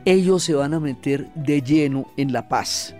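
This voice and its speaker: A man's voice: fairly high pitch, measured pace, a little mellow, faint music behind. A man giving a conversational history talk in a didactic, emphatic way, with rhetorical questions and repetition. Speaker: history lecturer and narrator.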